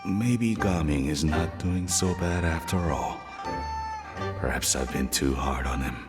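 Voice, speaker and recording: A man playing a gruff, stern but soft-hearted middle-aged father in a game, speaking in a halting, earnest way. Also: very deep voice, loud music behind the voice. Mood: musing, regretful. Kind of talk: animated game voice acting.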